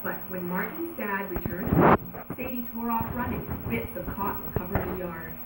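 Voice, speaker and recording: A woman narrating a children's story aloud in an animated, dramatic way, drawing out words for emphasis. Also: mid-range voice, moderate pace. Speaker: storybook reader. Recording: roomy echo, background noise.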